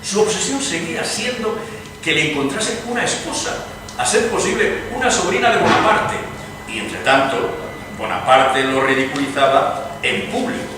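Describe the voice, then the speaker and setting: A man's voice: fairly high pitch, sing-song, moderate pace. An actor playing a mocking male storyteller narrating in a dramatized reading. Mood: mocking, ironic. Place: live reading in a reverberant venue. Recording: echoey room from far off, clear noise.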